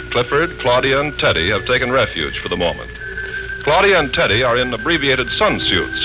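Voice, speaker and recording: An American man announcing and narrating from a script in polished, deliberate 1940s radio style. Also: lowish voice, poor audio.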